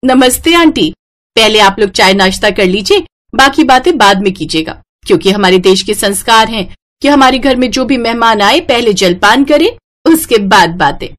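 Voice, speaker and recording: A woman's voice: a little high, expressive, moderate pace, a bit bright. A woman voicing a simple, cheerful young village bride in a story, polite and earnest, with a rustic way of speaking.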